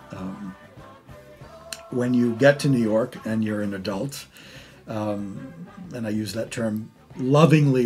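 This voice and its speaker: A man hosts a casual interview with a wry, joking manner. His voice is a little low, with faint music behind it. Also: a little mellow.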